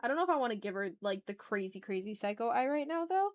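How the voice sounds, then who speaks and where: Higher-voiced woman chatting on an art stream. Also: very animated, fast. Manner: playful, talks to chat and thinks aloud. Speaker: art livestream host. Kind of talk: casual livestream chat while drawing.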